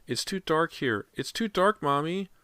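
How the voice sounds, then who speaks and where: A tiny, frightened little boy whimpers and begs to be rescued, as a man puts on a high childlike voice. The voice leaps up and down as he pleads, with a clean, dry sound close to the mic. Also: expressive, unhurried, pushed and bright, clear, resonant tone, no room sound. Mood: frightened pleading.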